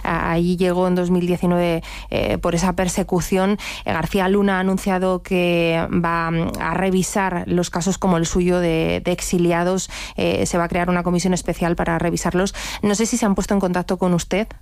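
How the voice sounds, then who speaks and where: A woman interviewer putting journalistic questions in a somewhat halting way. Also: fairly low pitch, level tone, vocal fry, darkish tone, dry recording.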